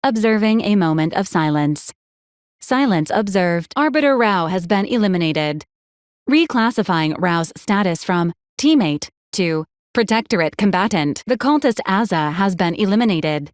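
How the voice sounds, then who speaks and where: A woman playing a video game support robot, formal and literal, speaking in measured, politely officious protocol announcements. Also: mid-range voice, lively intonation, dry recording, clean studio sound, natural voice. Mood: flat, procedural. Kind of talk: video game character voice lines.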